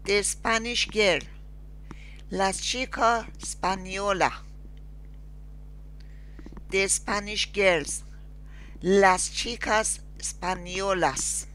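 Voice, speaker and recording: A woman teacher with a Persian accent speaks at a very slow, careful pace, and her voice is bright and forward. She drills phrases one word at a time, with long pauses in between. A faint hum sits under the recording from her desktop mic. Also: mid-range voice, rough recording. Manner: slow, deliberate, spells out each word with pauses. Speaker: Spanish teacher. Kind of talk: slow screen-recorded language lesson with repeat-after-me drills.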